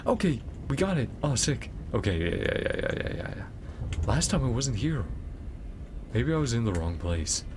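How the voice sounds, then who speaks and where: A man's voice: medium pitch, big pitch swings, moderate pace, background noise. A man doing casual livestream commentary on a game, rambling and thinking aloud as he goes. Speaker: game streamer. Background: game music.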